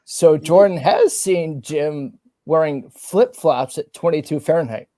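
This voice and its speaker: A man's voice: fairly high pitch, unhurried, dark tone. A man in a casual chat who asks the others playful questions.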